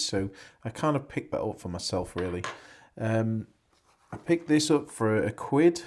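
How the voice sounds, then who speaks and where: An English man, hoarse and losing his voice, chatting casually in a rambling, self-correcting way about antiques he picked up. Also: fairly low pitch, darkish tone. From England. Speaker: antiques reseller vlogger. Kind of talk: casual vlog chat.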